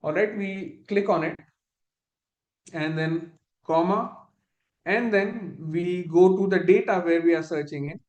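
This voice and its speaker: High-voiced man teaching a spreadsheet class. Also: a little slow, pauses often, a little mellow, reverberant, muffled recording. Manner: step-by-step, patient, restarts phrases. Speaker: instructor. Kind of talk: live online spreadsheet lesson.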